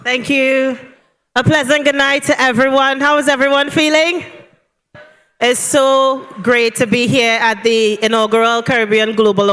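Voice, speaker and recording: A woman's voice: a little high, a bit bright, smooth. A woman performer talking to the crowd between soca songs, upbeat and rousing. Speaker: singer, performer.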